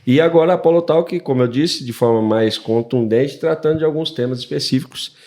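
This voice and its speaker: A man, his voice sitting at a mid pitch. He hosts a church podcast in a relaxed, playful way, bantering with his co-host. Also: fairly quick. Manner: playful, laughs often, bantering. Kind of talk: casual podcast chat.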